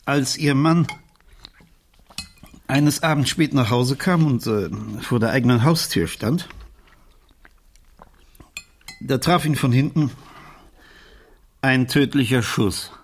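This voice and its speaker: An actor playing a strait-laced, embarrassed middle-aged uncle in a radio crime drama, husky and stammering with evasive hesitation. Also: male voice, mid-range voice, unhurried, long pauses, natural voice. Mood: grave, reluctant.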